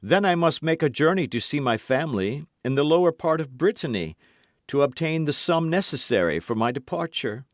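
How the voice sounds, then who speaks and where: A man's voice: fairly high pitch, expressive, fairly quick, no echo, clean studio sound, pitched above the performer's own voice, close to an everyday voice. A man reading an audiobook, voicing a boastful, scheming musketeer in a raised, grand, wheedling voice. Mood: mock-melancholy. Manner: grand, wheedling, mock-melancholy.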